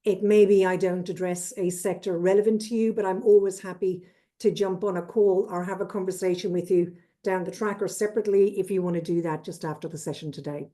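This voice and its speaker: Irish female consultant, 50s; brisk, businesslike webinar delivery, lowish warm voice. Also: fairly even intonation. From Ireland. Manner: brisk and businesslike, lists with "et cetera". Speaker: trade consultant presenting. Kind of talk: webinar presentation. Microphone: video call.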